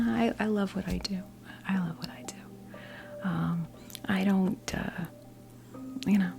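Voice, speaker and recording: Whispering American woman in her fifties, creaky. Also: medium pitch. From New Jersey, United States. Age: 50s. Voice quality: soft breathy whisper. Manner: gentle, reassuring, hesitant unhurried phrasing. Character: kindly, calming witch tarot reader. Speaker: ASMR performer role-playing a tarot reader. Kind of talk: ASMR role play, whispered one-on-one tarot reading.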